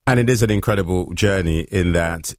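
A man hosting a radio interview show, asking measured, probing questions from a studio. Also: low voice, dry recording. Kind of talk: broadcast interview.

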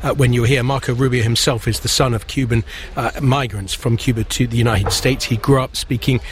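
A man's voice: medium pitch, fairly even intonation, fairly quick, a little hiss or hum. Conversational male news anchor. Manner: clear, conversational questioning. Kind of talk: studio news interview. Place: news studio.

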